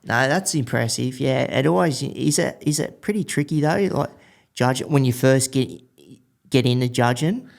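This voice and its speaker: A man with an Australian accent and a gravelly, medium-pitched voice hosts a casual conversation. His delivery is blokey and informal, full of slang as he puts questions to his guest. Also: moderate pace. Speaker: podcast host. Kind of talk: casual chat, interviewing.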